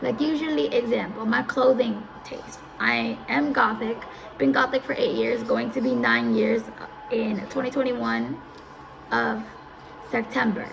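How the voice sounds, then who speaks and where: A woman's voice: on the high side, fairly even intonation, a little slow, gravelly, poor audio. A woman in her early twenties giving casual, rambling advice as a live streamer, often repeating herself and losing her thread. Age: early 20s.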